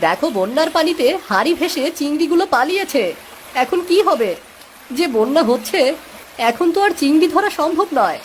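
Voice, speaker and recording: An actor voicing an eager, excited village child in a Bangla cartoon. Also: high voice, fairly quick, a little hiss or hum.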